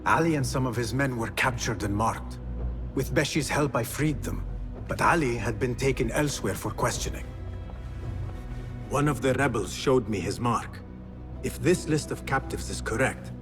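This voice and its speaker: A man's voice: medium pitch, music in the background, natural voice. Actor as earnest, determined young male assassin. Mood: reporting. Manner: measured, formal phrasing. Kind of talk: video game cutscene acting.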